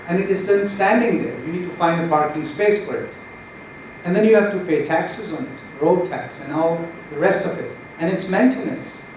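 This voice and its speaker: A man on a conference panel giving a spontaneous explanatory answer. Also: a little high. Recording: very echoey, distant hall mic, noisy.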